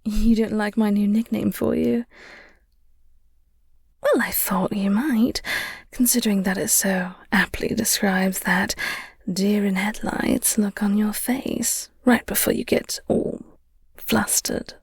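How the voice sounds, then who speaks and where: A woman playing a flirty, teasing vampire nemesis who secretly cares, in an intimate audio roleplay, speaking softly and half-whispered with wry, elegant phrasing. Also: medium pitch, steady tone, no echo, clean studio sound, exaggerated voice. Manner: breathy, soft, slow, half-whispered delivery.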